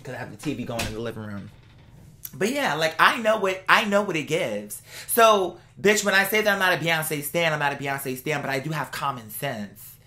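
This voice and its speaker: Blunt, sassy woman ranting, slightly low-voiced. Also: expressive, normal speed, sharp tone, a little hiss or hum. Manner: blunt, sassy, profane, slangy; emphatic, exasperated storytelling. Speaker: vlog host. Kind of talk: casual rant to viewers. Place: indoors at home.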